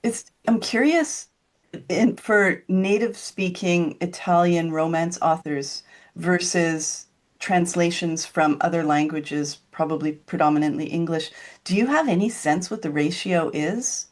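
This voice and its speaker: Lower-pitched woman podcast host. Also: measured pace. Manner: friendly, lightly laughing, asks long questions. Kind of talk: interview questions.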